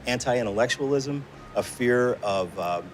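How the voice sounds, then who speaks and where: A man's voice: mid-range voice, animated, a little slow, slight background noise. A middle-aged man in a casual documentary conversation, speaking earnestly and a little hesitantly. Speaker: documentary maker conducting interview.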